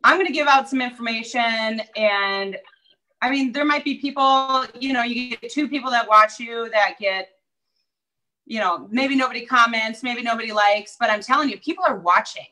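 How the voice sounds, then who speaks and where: A woman's voice: a little high, normal speed, pushed and bright, low-quality recording. A woman with a Minnesota accent, a real estate agent chatting upbeat as a guest over a video call. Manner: chatty, upbeat, many fillers. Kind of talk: casual interview chat.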